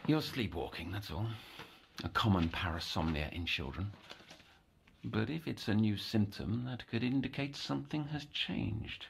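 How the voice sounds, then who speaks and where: An actor playing a brooding, obsessive psychiatric counsellor in an audio horror drama, theatrical and ornate, slipping into whispers and eerily tender. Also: male voice, on the low side, moderate pace, vocal fry, slight background noise. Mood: clinical concern.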